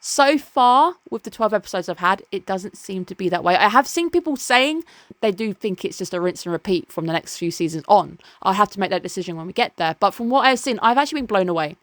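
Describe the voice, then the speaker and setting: A woman reviewing anime in a casual, chatty way. She sounds enthusiastic and adds quick asides. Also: medium pitch, animated, no room sound. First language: English.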